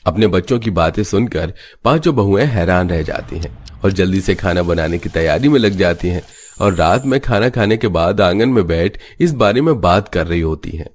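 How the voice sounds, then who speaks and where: A man's voice: low voice, animated, normal speed. A man reading scripted narration for a cartoon story.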